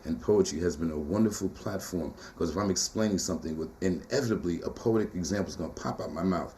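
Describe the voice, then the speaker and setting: A middle-aged man with a tenor-baritone voice, talking in a casual interview as a poet and teacher, animated and emphatic with rhythmic repeated phrases. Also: quick pace, slight background noise.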